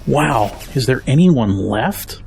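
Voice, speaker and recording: A man's voice: mid-range voice, expressive, takes it slowly, creaky, darkish tone, slight background noise. An actor playing a weary, practical family man with a wary, down-to-earth manner in an audio drama. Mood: worried.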